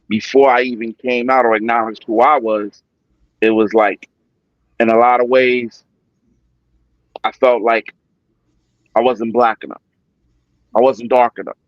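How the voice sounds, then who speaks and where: A man from New York talks through his past in an easygoing, reflective way, leaning on "it's like" as he thinks. His voice sits at a middle pitch. The recording is dry and a touch dull. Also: dry recording. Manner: reflective, often says "it's like". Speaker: guest. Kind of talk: casual personal conversation.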